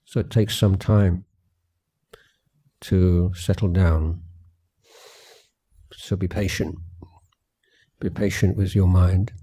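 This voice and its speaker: Male meditation guide. Low, even pitch, measured and gentle retreat talk, unhurried phrases with long pauses. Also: husky. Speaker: Buddhist meditation teacher.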